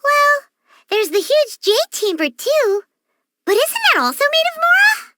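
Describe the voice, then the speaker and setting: A woman voicing a small, childlike fairy companion in a video game, squeaky, excitable and naive, with exclamatory delivery. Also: a little slow, no room sound, studio quality, exaggerated voice. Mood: eager, boastful. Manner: exclamatory, refers to self in third person. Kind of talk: video game character voice acting.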